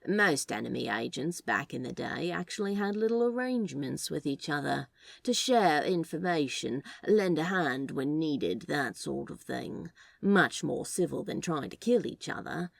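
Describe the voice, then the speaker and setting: A woman's voice: low-pitched, lively intonation, unhurried, creaky, no room sound, exaggerated voice. Woman acting a drawling, sly flirtatious man. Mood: teasing. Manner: drawling, hesitant, conspiratorial. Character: flirtatious sly man, laid-back office worker playing spy.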